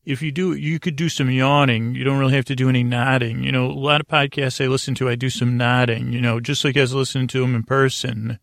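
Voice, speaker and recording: A man hosting a sleep podcast in a low-key, meandering monologue, halting often and drifting off into digressions. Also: little pitch movement, gravelly, fairly bright tone, no room sound, clean studio sound. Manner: meandering, halting, frequently digressing and losing his thread; low-key, soothing, deliberately unengaging. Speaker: podcast host.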